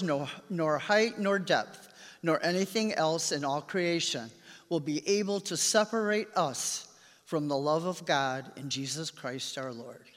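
A man with a high voice reads scripture aloud in a formal manner. The long reverb of a large church surrounds his words. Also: fairly bright tone. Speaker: scripture reader at a church service.

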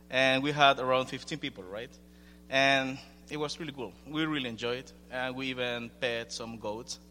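A young man with an Ecuadorian Spanish accent gives an informal update to a gathering. His voice is bright and his delivery hesitant and modest. Long hall reverb and faint noise surround him. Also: medium pitch. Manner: hesitant, self-deprecating, informal. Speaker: young adults ministry leader. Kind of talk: informal ministry update to a congregation. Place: large room, speaking to a gathering.

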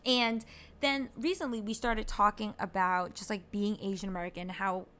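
A woman's voice: mid-range voice, steady tone, moderate pace, pushed and bright. A woman from Hong Kong hosting a casual podcast with an upbeat, playful manner. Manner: upbeat, playful food wordplay in a scripted intro. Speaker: podcast host.

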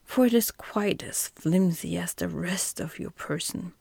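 A woman's voice: on the low side, measured pace, vocal fry, warm tone, breathy edge, no room sound, full character voice. A woman voicing a sneering, grumbling male sawhorse in a whispered storybook reading.

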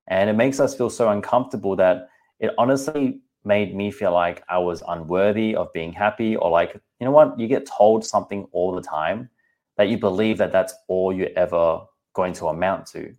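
Australian man, 30s, deep voice; chatty, candid podcast host talking straight to listeners. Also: normal speed, darkish tone. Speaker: podcast host and personal trainer. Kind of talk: casual podcast monologue.

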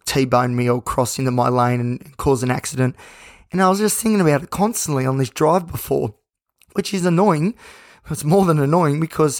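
A hoarse man hosting his own podcast, talking in a rambling, candid and self-reflective way. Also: medium pitch, no room sound, studio quality. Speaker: podcast host. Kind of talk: casual solo podcast monologue.

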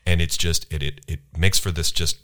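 A man hosting a podcast interview at a convention, enthusiastic and halting, with many restarts and fillers. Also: deep voice, steady tone, sharp tone. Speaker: podcast host. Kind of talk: casual interview. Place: convention venue.

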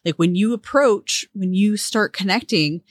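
A woman's voice: medium pitch, a little slow, no echo, clean studio sound. A woman co-hosting a podcast, talking in a reflective way as she searches for her words. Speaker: podcast co-host. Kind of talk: casual conversation.

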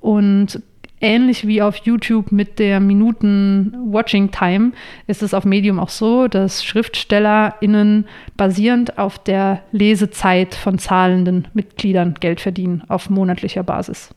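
A young woman, a guest on a podcast, answering interview questions in a friendly way and explaining things clearly and at length. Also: mid-range voice, level tone, a little slow, a little mellow. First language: not English. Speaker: podcast guest, online writer.

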